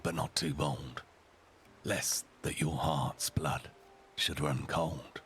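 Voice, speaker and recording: A man voicing a composed, coldly defiant young noblewoman in a storybook tale, gravelly and deliberate. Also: deep voice, a bit bright, a little hiss or hum, full character voice. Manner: deliberate, dramatic, icy.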